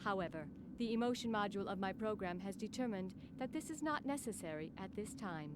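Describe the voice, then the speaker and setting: A woman voicing a calm, formal female android combat system in a game cutscene, speaking in a flat, precise way. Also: mid-range voice, a little fast, noisy background, natural voice. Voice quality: flat, precise, emotionless delivery. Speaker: game character. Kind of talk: dubbed game cutscene acting.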